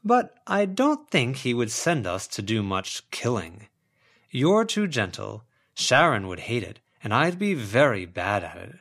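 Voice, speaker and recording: A man's voice: mid-range voice, big pitch swings, measured pace, fairly bright tone, full character voice. Dreamy, theatrical eccentric young man, acted by a male reader. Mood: whimsical, languid. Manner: theatrical, intense, poetic. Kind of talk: audiobook character voice.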